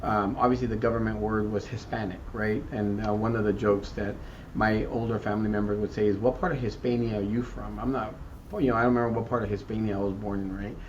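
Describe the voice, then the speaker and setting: A man from South Texas answering interview questions thoughtfully, often repeating words and pausing with "um" as he searches for the right term. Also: lowish voice, level tone, a little mellow. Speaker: school district equity chief. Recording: muffled, distant, faint background noise.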